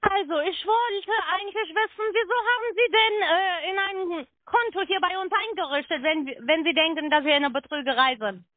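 A woman's voice: very high-pitched, normal speed, few pauses, dry recording. A woman working as a call centre agent, arguing on the phone in a confrontational way with non-native phrasing. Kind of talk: argumentative phone conversation. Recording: narrow tinny phone line.

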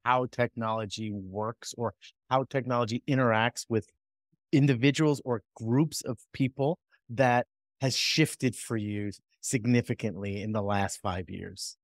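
A man's voice: mid-range voice, fairly even intonation, measured pace, no echo, studio quality. A man in his forties hosting a video call interview over a headset, asking questions with many hesitant fillers. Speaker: interview host. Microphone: over-ear headset.

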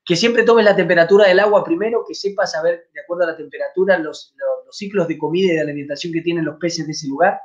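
A man's voice: a little high, quick pace, few pauses. Chatty Argentine man on dull webcam. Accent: Argentine Spanish. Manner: chatty, many fillers and restarts. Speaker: fly fishing channel host. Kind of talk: live stream Q&A. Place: home room, indoors. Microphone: webcam at desk.

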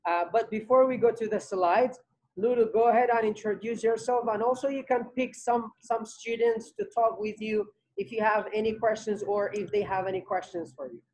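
A man's voice: high voice, steady tone, normal speed, darkish tone, low-quality recording. A young adult man teaching an English class over a video call from home, talking loosely with many fillers and repeats. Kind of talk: casual online class talk.

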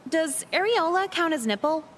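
Jaded high-pitched teen girl. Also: animated, moderate pace, fairly bright tone, a little hiss or hum. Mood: sardonic worry. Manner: blunt, sarcastic, dismissive. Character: jaded, sardonic, foul-mouthed manipulative teenage high school girl. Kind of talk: visual novel voice acting.

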